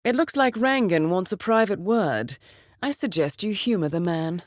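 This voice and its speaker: An actress playing a composed, formal and dryly witty intelligence handler who briefs an assassin in a video game. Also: female voice, mid-range voice, animated, normal speed, no room sound, studio quality, lightly acted. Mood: dryly wry. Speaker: mission handler.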